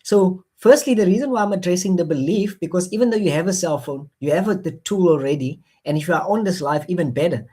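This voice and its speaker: A man with an Afrikaans accent talks straight to his live audience through a phone, selling an idea with steady encouragement. He circles back over the same phrases, stumbling through fillers and restarts as he coaxes viewers along. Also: high voice, fast talker, few pauses, slightly rough. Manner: repetitive, coaxing, many fillers and restarts. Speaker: online marketing presenter. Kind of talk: motivational sales pitch on a live stream.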